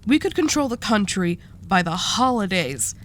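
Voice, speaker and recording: A woman's voice: mid-range voice, very animated, moderate pace, a little hiss or hum, close to an everyday voice. A woman playing a dominant, seductive mafia boss in a roleplay audio drama, teasing and possessive with a coaxing menace. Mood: calm, calculating.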